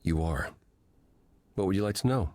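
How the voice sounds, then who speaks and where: An actor playing an ancient, weary god in a video game, speaking as a gentle, patient mentor in a measured, reflective way. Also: male voice, low voice, lively intonation, no room sound.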